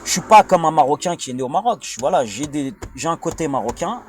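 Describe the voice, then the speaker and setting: A man's voice: a little high, animated, quick pace, darkish tone. A man from France with a French accent, delivering a rambling informal monologue in a blunt, crude, slangy way, often digressing and repeating himself. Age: adult, father of a young child. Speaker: vlogger.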